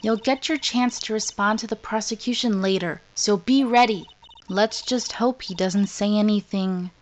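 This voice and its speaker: A woman voicing a calm, stern female mentor lawyer in a game fandub, composed and instructive. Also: medium pitch, moderate pace, natural voice. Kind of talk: comedic video game fandub. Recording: faint game music behind.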